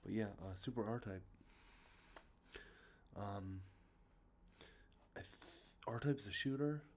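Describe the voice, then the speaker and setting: A man with a congested, sniffly nose, talking softly and rambling, with many fillers, as an ASMR host showing off games he collected. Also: fairly low pitch, slow, a little hiss or hum. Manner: rambling, many ums and uhs, self-correcting. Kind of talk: soft-spoken show-and-tell about collected video games. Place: outdoors with traffic nearby.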